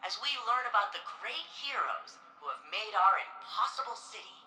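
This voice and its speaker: Futuristic city archivist lady, game museum tour narration, super bright crisp-edged voice, stately n reverent, echoey. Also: mid-range voice, expressive, moderate pace. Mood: bright, welcoming. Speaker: recorded museum guide. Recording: roomy echo with music behind.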